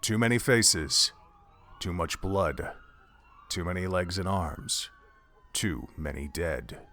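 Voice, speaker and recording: A man's voice: low voice, lively intonation, takes it slowly, bright, forceful tone, slight background noise. A man reading dramatic scripted narration for an audio drama, in the manner of an audiobook.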